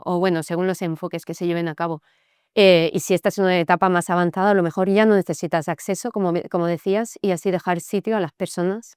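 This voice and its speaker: Casual young woman, very clear voice. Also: lowish voice, no room sound, studio quality. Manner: casual, many fillers like "y tal" and "en plan". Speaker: startup founder, guest. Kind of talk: conversational interview.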